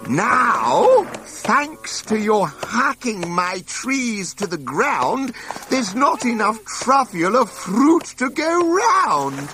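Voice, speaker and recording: A man's voice: very high voice, sing-song, extremely slow, background noise, pitched above the performer's own voice, exaggerated voice. A man voicing a small, indignant old woodland creature in a storybook reading, scolding and pleading emphatically, with music behind. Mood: accusing.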